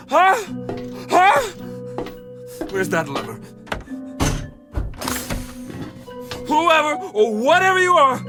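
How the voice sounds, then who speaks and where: An actor playing an anxious, cautious astronaut scientist in a radio-style drama, speaking tensely and stubbornly. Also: male voice, sits low. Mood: frantic, challenging. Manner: tense, stubborn, increasingly frantic. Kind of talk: dramatic radio-play acting. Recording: music playing behind the voice.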